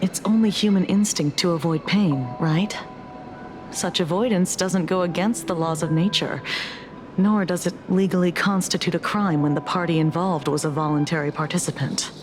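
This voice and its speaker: An actress playing a cool, pragmatic investigator in a video game, measured and dry. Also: female voice, on the low side, close to an everyday voice. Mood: detached. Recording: music behind the voice.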